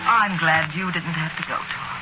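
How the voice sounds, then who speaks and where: A woman playing a warm-hearted, outspoken housewife in a 1940s radio drama, effusive and emotional. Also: deep voice, normal speed, loud music behind the voice, close to an everyday voice. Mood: relieved. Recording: muffled, noisy 1940s radio broadcast.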